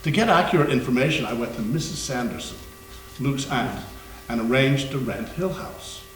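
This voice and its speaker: A man playing an erudite investigator of haunted houses in a staged reading, telling his ghost story gravely with deliberate pauses. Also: medium pitch, lightly acted. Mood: grave, measured. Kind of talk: staged readers theater performance. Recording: echoey room with faint hum.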